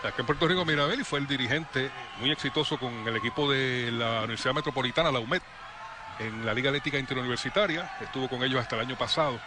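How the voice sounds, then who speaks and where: A man calling play-by-play for a youth football match, brisk and lively on attacks. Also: medium pitch, noisy background. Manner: brisk, rising excitement on attacks. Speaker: play-by-play commentator.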